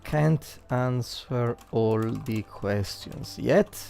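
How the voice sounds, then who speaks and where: A man livestreaming game commentary and analysis, thinking aloud haltingly and repeating words as he works out his points. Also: mid-range voice, gravelly, dark tone, faint music behind.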